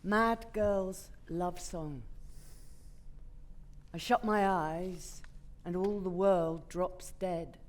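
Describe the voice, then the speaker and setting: A woman giving a deliberate, dramatic reading of a poem on a theatre stage. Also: fairly low pitch, steady tone, a little mellow. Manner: deliberate, measured. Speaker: actor reading poetry.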